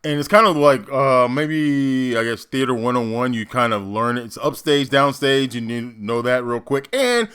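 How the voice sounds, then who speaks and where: A man, an actor, giving casual reaction commentary and explaining stagecraft in an emphatic way, often halting as he thinks aloud. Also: medium pitch, a bit bright, clear, resonant tone.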